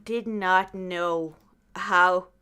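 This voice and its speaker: A woman's voice: fairly low pitch, extremely slow, clean tone. A woman chatting casually as a podcast host, acting out her own reactions as she tells stories. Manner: animated storyteller who acts out own reactions. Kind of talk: casual chat.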